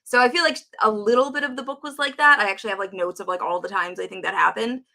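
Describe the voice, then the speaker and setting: A woman's voice: medium pitch, quick pace, creaky, bright, forceful tone. A woman co-hosting a book discussion, talking in an informal, enthusiastic, conversational way. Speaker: book review show co-host. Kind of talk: casual chat about books.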